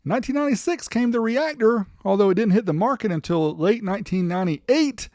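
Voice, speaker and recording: A man's voice: high voice, lively intonation, a little fast, a little mellow, dry recording, studio quality. A man narrating a product showcase as the host, in a chatty, informal way. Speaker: host of a vintage car audio video channel.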